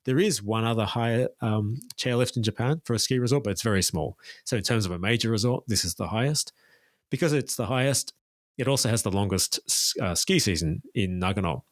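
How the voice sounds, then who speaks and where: A man's voice: lowish voice, brisk, dry recording, studio quality. A man who works as a tour guide, talking as a guest in a casual interview and giving detailed, enthusiastic recommendations.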